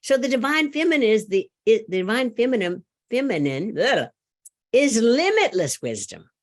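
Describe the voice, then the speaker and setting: A middle-aged woman giving a sermon, loud and animated, laughing often and telling a story. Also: fairly high pitch, moderate pace. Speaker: speaker at a church service.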